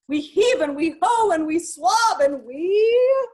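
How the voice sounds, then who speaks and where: A woman's voice: animated, slow pace, darkish tone, full character voice. A woman performing a scruffy, happy pirate crew's boastful sing-song shanty from a storybook. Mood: jolly boasting. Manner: sing-song boasting with a high final whoop.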